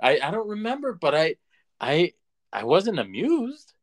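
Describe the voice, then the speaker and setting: A man co-hosting a podcast in casual conversation, opinionated and halting as he restarts his points. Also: on the high side, huge pitch swings. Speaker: podcast co-host. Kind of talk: casual chat.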